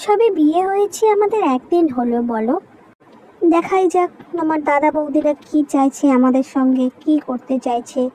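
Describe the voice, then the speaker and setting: A woman playing a young village bride in an animated moral story, practical and wary, urging and chiding her husband. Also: sits high, normal speed, a little hiss or hum, lightly acted, low-quality recording. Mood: worried, suspicious.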